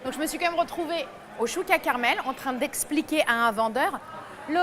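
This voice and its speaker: Young woman on a tv panel, high voice, tells funny stories, laughs a lot, faint hiss behind. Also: fairly quick. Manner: lively storytelling, laughing often. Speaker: columnist. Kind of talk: comic chronicle on a talk show.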